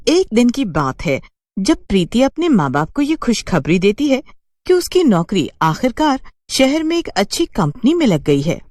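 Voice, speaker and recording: A woman's voice: on the high side, sing-song, moderate pace, darkish tone, dry recording, studio quality. A woman telling a scripted cartoon story as its narrator.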